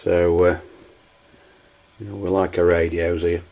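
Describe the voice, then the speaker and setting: An English man demonstrating hobby gear in a halting, hesitant way. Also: low voice, little pitch movement, dark tone, dull audio. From United Kingdom. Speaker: CB radio enthusiast reviewing gear.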